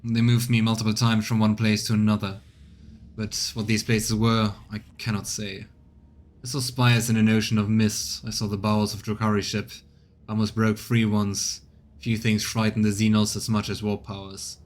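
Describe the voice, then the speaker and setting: A man voicing a proud, stubborn interrogator in a game, weakened by torture, speaking formally and haltingly in a strained, bitter way. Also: on the low side, flat delivery, lightly acted. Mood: grim, weary. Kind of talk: acted reading of game dialogue.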